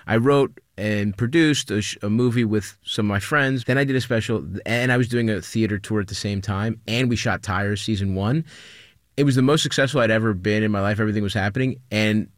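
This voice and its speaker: A man's voice: on the low side, brisk, no room sound, clean studio sound. A man talking candidly and informally about himself as a comedian in an interview. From Greek immigrant. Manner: informal, confessional. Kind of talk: casual, candid interview chat.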